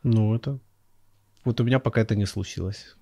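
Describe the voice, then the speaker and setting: A man with a low, gravelly voice talks quickly, full of slang and filler words. His tone is dark and relaxed. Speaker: host. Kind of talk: casual conversational interview.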